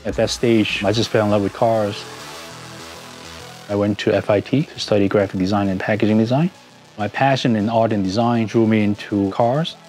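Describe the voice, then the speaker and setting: A man's voice: on the low side, steady tone, normal speed, darkish tone. A middle-aged man from Hong Kong with a Hong Kong accent, telling his story reflectively as a car enthusiast in a documentary interview. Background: background music.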